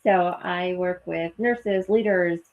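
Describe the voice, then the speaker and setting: A woman's voice: fairly low pitch, slow pace, phone-quality sound. A woman co-hosting a live chat over a video call, bubbly and chatty, laughing often. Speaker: co-host and coach for nurses.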